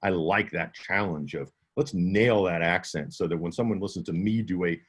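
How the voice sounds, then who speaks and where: A man with a slight Southern twang answers questions at a writers' conference over a video call. His voice sits on the low side. He talks conversationally and often restarts his sentences. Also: normal speed, slightly rough. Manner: conversational, with many restarts and self-corrections. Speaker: audiobook narrator and instructor.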